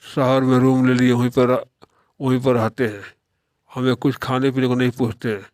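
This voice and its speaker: A man's voice: mid-range voice, flat delivery, normal speed, a little mellow, dry recording, clean studio sound, natural voice. A man voicing an idle, proud young son-in-law in a moral-story cartoon, complaining in firm statements. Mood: aggrieved.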